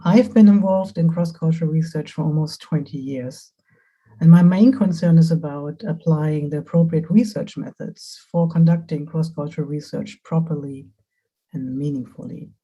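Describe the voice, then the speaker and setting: A woman, a researcher, introducing herself in a measured, matter-of-fact way on a video call. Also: deep voice, warm tone, husky. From Germany. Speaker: panelist, researcher. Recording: muffled lo-fi call audio.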